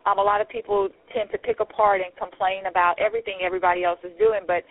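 A woman in her forties talks brightly and at ease, filling pauses with "um" as she goes. Her voice sits mid-range for a woman. It comes through a thin, tinny phone line. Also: medium pitch, fairly even intonation. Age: 40s. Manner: upbeat, chatty, many fillers. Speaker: pageant system founder, guest. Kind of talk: casual interview over the phone.

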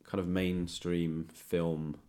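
A man's voice: low voice, little pitch movement, slow pace, dark tone. A man hosting a conversational interview, speaking tentatively and thoughtfully as he searches for the right words. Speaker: podcast host. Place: indoors, guest's home.

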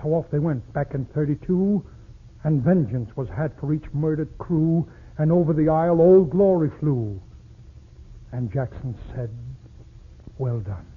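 Husky man reciting verse on vintage radio. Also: a little high, expressive, measured pace, narrow, tinny audio. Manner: theatrical, rhythmic, rhyming delivery. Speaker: performer reciting a patriotic verse. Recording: scratchy, band-limited 1940s radio broadcast.

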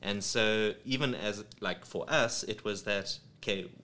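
A man's voice: on the low side, a little slow, sharp tone. A man from South Africa talking casually in an interview, rambling with many ums and restarts. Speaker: creative studio head, guest. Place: home studio. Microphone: studio microphone.

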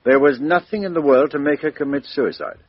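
An actor playing a methodical middle-aged police inspector, asking businesslike questions in a 1950s radio drama. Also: male voice, fairly high pitch, fairly quick, husky, dry recording. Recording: dull 1950s radio recording.

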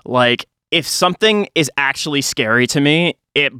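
Male podcast host, 31, higher-pitched and crisp, dry close sound, animated, self-deprecating casual chat. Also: moderate pace, no echo.

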